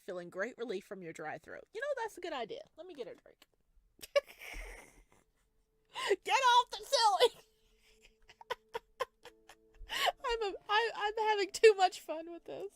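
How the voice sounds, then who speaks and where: A giggly, excitable woman reading a visual novel aloud with playful, joking commentary during a let's play. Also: high voice, no echo. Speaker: gaming content creator playing a visual novel.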